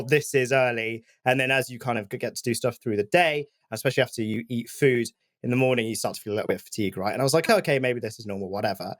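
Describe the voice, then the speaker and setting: A man's voice: medium pitch, no echo, clean studio sound. A man co-hosting a podcast, telling stories casually with a slightly scratchy throat from a cold. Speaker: podcast co-host.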